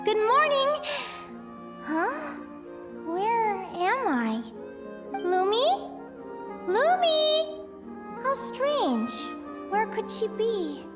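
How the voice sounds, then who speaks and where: A woman's voice: very high voice, very animated, extremely slow, pauses often, heavily acted. A woman playing an earnest, spirited young female competitor in a video game, with a sweet girlish voice. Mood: puzzled, searching. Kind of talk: English video game voice acting. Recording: music behind the voice.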